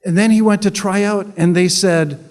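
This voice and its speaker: A man's voice: sits high, steady tone, moderate pace, a little mellow, big-room sound. A Canadian-born man giving a sarcastic, conversational political speech to an audience, often restarting with repeated words. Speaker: public speaker.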